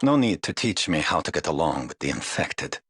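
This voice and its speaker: An English actor voicing a weary, aloof middle-aged knight in a game, speaking in a dry, measured, world-weary way. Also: male voice, a bass voice, big pitch swings, fairly bright tone, breathy edge, full character voice. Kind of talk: game voice lines.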